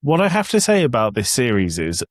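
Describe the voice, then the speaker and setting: A man's voice: medium pitch, wildly swooping pitch, brisk, no echo, clean studio sound. A man in a casual podcast discussion, giving blunt, critical opinions as a panellist.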